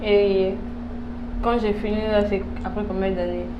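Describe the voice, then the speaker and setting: A woman's voice: medium pitch, level tone, normal speed, muffled recording. A woman with a Congolese French accent hosting a casual interview, searching for words and mixing in English. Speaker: host, interviewer. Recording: clear background noise.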